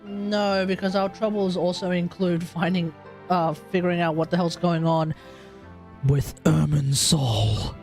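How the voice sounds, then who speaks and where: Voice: mid-range voice, a little slow, vocal fry, darkish tone. An Australian game streamer giving casual live commentary while playing. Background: faint game music.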